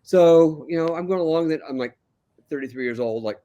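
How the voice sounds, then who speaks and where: An older man, a calm and relaxed guest, telling his life story in a casual interview. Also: a little high, brisk.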